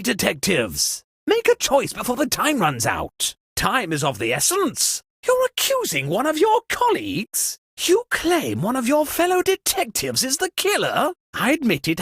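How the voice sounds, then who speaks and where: An actor playing a flustered, theatrical host of a murder-mystery party in a video game, exclaiming with exasperated high-society affectation. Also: fairly bright tone, husky, no echo, clean studio sound, full character voice. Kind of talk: scripted video game character lines.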